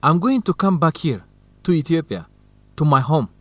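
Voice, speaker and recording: A man's voice: on the high side, very animated, fairly quick, a bit bright. A man playing an earnest, supportive young university student in an educational radio drama, speaking reassuringly. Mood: earnest, inspired.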